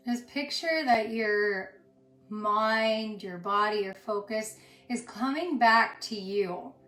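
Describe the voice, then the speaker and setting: A woman with a somewhat high voice guides a yoga and meditation class. She soothes as she goes, speaking in short phrases with pauses between them. The room adds a clear echo, and music plays underneath. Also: fairly bright tone. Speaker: yoga instructor.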